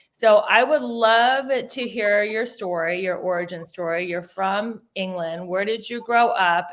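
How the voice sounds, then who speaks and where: A woman's voice: mid-range voice, fairly bright tone. A woman hosting an interview over a video call, chatty and enthusiastic. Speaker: interview host. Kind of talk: casual interview chat.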